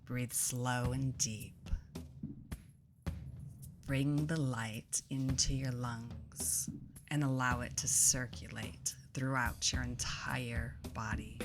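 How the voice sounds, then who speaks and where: A woman leading a guided meditation in a soothing, deliberate way, pausing between phrases, her soft voice turning breathy as phrases end. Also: extremely low pitch, steady tone. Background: instrumental music.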